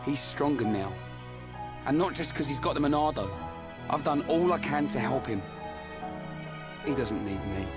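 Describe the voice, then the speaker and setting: An actor playing a brawny, loyal young warrior with a British accent and a blunt, informal way of talking in a video game. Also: male voice, mid-range voice, animated, normal speed, pauses often, natural voice. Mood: dejected, self-blaming. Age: young adult. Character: brawny young male warrior, loyal, blunt, self-doubting but hearty. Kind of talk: video game cutscene acting. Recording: game music behind the voice. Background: game soundtrack music.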